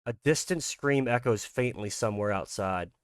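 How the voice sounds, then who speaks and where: A man with an average-pitched voice presents his own visual novel. He speaks as an amateur creator, moving between casual explanation and read-aloud story narration. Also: moderate pace, a little hiss or hum.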